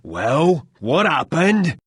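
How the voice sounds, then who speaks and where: Goofy theatrical adventurer for a game, dragged out slow and swooping all over, dramatic and cowardly. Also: male voice, a little high, sing-song, a bit bright, slightly rough, dry recording, exaggerated voice. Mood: eager. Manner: hammy, dramatic, comic. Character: jolly, theatrical, cowardly male adventurer playing ship's captain. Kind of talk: video game voice acting.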